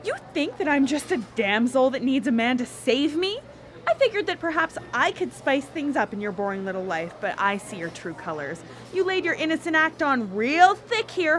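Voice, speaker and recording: A woman playing a brash, flirtatious, sharp-tongued date in a live radio drama, blunt and dramatic. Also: sits high, a little hiss or hum. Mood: angry.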